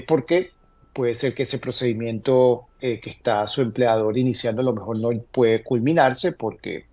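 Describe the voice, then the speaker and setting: A man speaking as an immigration lawyer, giving conversational advice in a halting way, often checking in with short tag questions. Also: medium pitch, darkish tone, muffled recording. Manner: hesitant, halting explanations, tags statements with "verdad".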